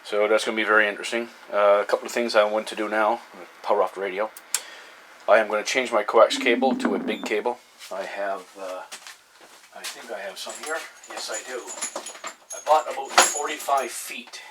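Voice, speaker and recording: A man giving a casual, halting explanation as a hobbyist vlogger, folksy and thinking aloud. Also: fairly low pitch, fairly even intonation, heavy background noise.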